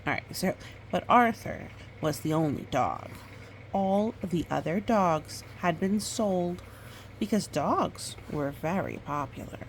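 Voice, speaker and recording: A woman reading a picture book aloud in storytime style, with expressive, drawn-out dramatic phrasing and chatty asides. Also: on the low side, slight background noise, rough recording.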